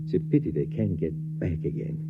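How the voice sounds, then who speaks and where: An actor playing a detached, skeptical scientist with a measured, clinical delivery in a 1950s radio drama. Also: male voice, very deep voice, sing-song. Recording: dull, hissy vintage radio recording.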